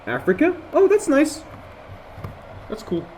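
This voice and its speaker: A man's voice: very high-pitched, wildly swooping pitch, slow, a little mellow, slight background noise, low-quality recording. A young man from Jordan with an American accent, rambling casually as a student lecturer on an online voice call. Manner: chatty, rambling, with many fillers and restarts. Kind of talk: casual online review session. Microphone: computer mic.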